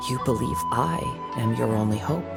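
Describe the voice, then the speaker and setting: An actor playing a calm, perceptive young wandering samurai in a video game, speaking in a measured, earnest way. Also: male voice, medium pitch, creaky, over loud music, natural voice. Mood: analytical, calm. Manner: measured, earnest, gently probing. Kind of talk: video game character acting.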